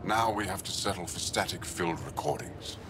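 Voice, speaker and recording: A man's voice: sits low, normal speed, natural voice. A man voicing an earnest alien scientist. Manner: earnest, explanatory. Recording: loud background noise.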